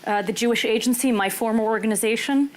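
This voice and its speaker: A woman's voice: a little high, fast talker. A woman born in the US whose first language was Hebrew, speaking as a panelist on a clip-on mic and talking candidly with frequent ums and restarts. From United States. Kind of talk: panel talk. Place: conference room.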